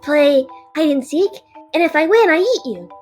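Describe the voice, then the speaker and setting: A woman's voice: sits high, expressive, normal speed, darkish tone, faint music behind. A woman voicing a baby-voiced young dragon. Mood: playful. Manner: cheerful, matter-of-fact about eating guests. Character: childish 200-year-old young dragon, playful and hungry. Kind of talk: fan audio drama.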